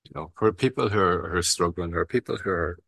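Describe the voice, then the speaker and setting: A man's voice: low-pitched, quick pace, gravelly, a bit bright, slightly rough, no room sound. A man with an Irish accent telling, in a frank and rambling way, how he started a recovery meeting, often saying "you know" and swearing casually. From Ireland. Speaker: recovery meeting founder sharing his story. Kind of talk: casual personal share.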